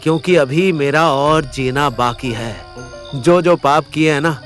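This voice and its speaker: A man playing a wounded, defiant young man in an audio drama, speaking gravely and with labored effort through pain. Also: a little high, unhurried, soft background music, natural voice. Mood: grave, regretful. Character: wounded, defiant, world-weary young man facing death. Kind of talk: Hindi audio drama acting.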